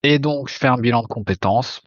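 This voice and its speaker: A man's voice: mid-range voice, fairly quick, no room sound. A man in his thirties from Italy, a graphic designer, speaking hesitantly with many fillers as a podcast guest. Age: 30s. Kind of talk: casual interview chat. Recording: dull lo-fi sound.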